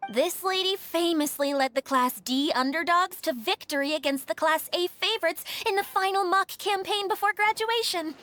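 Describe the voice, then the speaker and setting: A woman's voice: high-pitched, fairly bright tone. A woman voicing an anxious, polite young female caretaker in a video game, speaking formally and hesitantly.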